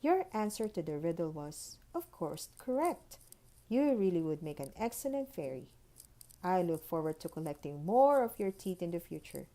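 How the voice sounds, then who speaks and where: A woman's voice: lowish voice, big pitch swings, normal speed, warm tone. A woman reading the letters of a courteous, formal tooth fairy in a proper storybook manner. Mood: grateful, approving.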